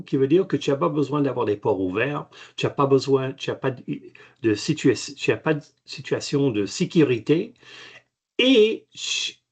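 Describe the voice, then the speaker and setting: A man, a native French speaker, answering technical questions on a webinar in a halting way with many restarts. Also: fairly high pitch, darkish tone, breathy edge. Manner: halting, frequent restarts and fillers. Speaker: company chairman, guest expert. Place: video call.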